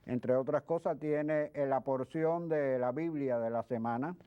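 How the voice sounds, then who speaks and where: A man speaking as a guest expert in an interview, explaining things in a halting way with repeated words. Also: medium pitch, clear, resonant tone. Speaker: guest expert, history professor. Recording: dull lo-fi sound.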